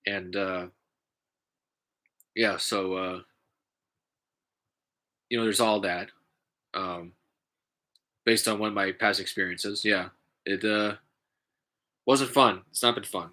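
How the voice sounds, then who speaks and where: A man with a stuffy, congested nose, talking in a halting, casual way with many fillers and restarts, vlogging from home. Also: on the low side, steady tone, pushed and bright. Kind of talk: casual rambling vlog.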